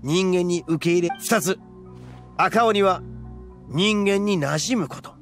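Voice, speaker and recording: Brash young oni boss, higher-pitched male. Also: slow pace, a bit bright, soft background music, full character voice. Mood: solemn, explaining. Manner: rough, swaggering, blunt slangy speech. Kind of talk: anime game voice acting.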